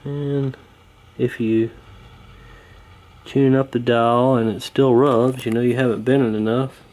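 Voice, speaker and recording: A man giving a casual hands-on repair walkthrough, talking in an unhurried, folksy way while he works. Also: medium pitch, level tone, darkish tone. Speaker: host of a radio repair channel.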